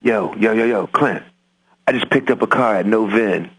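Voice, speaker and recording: Low male voice, husky, chummy radio caller, slangy street chat. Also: moderate pace, rough recording. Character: casual, chummy male radio call-in listener.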